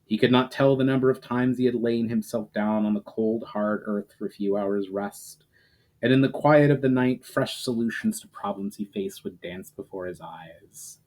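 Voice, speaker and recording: A man reading fantasy fiction aloud as a dramatic, measured narration. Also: on the low side, darkish tone, poor audio.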